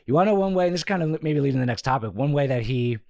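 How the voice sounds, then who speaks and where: A man's voice: mid-range voice, animated, very fast, vocal fry, mellow, no room sound, studio quality. A young adult man hosting a podcast, eager and questioning, with lots of fillers. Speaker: podcast host. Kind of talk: casual interview conversation.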